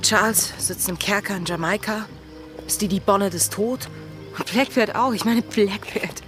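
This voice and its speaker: A woman's voice: mid-range voice, measured pace, lightly acted. An actress playing a haunted, resolute female pirate in a German radio drama, intense and brooding. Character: Anne Bonny, wanted female pirate, haunted, resolute lover. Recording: studio radio play with prominent music.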